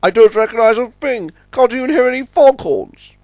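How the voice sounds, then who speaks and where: A man's voice: extremely high pitch, lively intonation, unhurried, dry recording, voice pushed up. A man voicing an anxious, bewildered tugboat in a children's story, with a fretful manner. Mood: anxious, puzzled. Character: anxious, bewildered male tugboat lost in fog.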